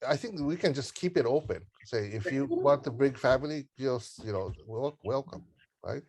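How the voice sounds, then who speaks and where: Halting middle-aged man on a group call. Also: medium pitch, lively intonation, darkish tone, slightly rough. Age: 50s. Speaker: organiser leading a group planning call. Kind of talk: casual group call discussion.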